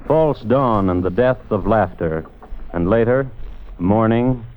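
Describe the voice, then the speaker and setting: An actor playing a storyteller, narrating a small-town tale in measured, poetic phrases for old-time radio. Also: male voice, on the low side, a little hiss or hum. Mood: measured, literary. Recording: tinny, phone-like old radio recording.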